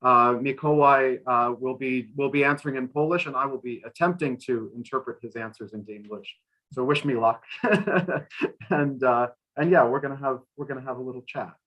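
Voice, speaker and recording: A man working as translator and interpreter at a live talk, speaking haltingly with repeated words. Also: mid-range voice, fairly bright tone, poor audio.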